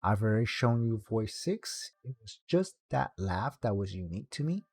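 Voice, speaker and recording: A man's voice: lowish voice, sing-song, moderate pace, darkish tone, slightly rough, dry recording. A man hosting a video game guide, narrating in a casual tutorial style.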